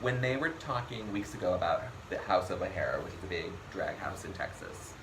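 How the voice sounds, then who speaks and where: A man's voice: low voice, lively intonation, a little fast. A man hosting a casual recap chat, talking loosely with lots of ums and frequent laughs. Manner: chatty, laughs often, trails off with ums. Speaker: podcast host recapping a TV show. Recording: noisy room recording.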